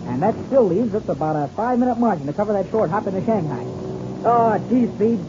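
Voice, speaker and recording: An actor playing an eager, confident young pilot hero with boyish slang in a 1930s radio adventure serial. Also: male voice, high-pitched, lively intonation, fast. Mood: excited confidence. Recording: dull, noisy old radio recording.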